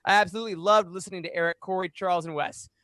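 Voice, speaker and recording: A man in his thirties hosting a fan podcast, speaking in an animated, emphatic way into a close microphone. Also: high voice, very fast, no echo. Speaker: podcast host. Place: small home room, indoors. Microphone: close studio microphone on boom arm.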